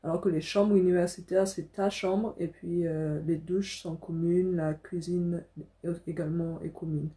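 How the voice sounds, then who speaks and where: Mellow-voiced young woman vlogging. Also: on the low side, steady tone, a little slow. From Africa. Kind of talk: casual personal storytelling to camera. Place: small furnished room indoors.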